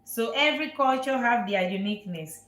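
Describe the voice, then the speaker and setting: A woman chef from Nigeria, hosting a live cooking stream and chatting warmly with viewers. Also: on the high side, animated, a little fast, roomy sound. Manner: warm, repetitive, answers viewer questions. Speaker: chef and channel host. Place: restaurant kitchen.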